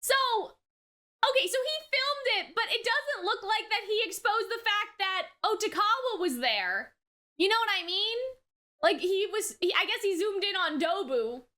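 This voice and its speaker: Very high, bright-voiced woman reacting casually. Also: expressive, normal speed. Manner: exclamatory, repeats words, thinks aloud. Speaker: reaction video host. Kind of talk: casual off-the-cuff reaction commentary on anime.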